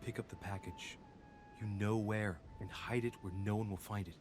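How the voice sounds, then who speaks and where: Man playing a low-voiced, inquisitive young investigator. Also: steady tone, normal speed, over music, lightly acted. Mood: solemn reading. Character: young man investigating his mother's secret, musing and inquisitive. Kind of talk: dramatic game narration, thinking aloud.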